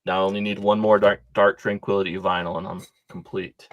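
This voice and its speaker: A man's voice: sits low, flat delivery, brisk, no room sound, lo-fi sound. A man on a podcast chatting casually in a laid-back, hesitant way with many ums. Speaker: podcast guest.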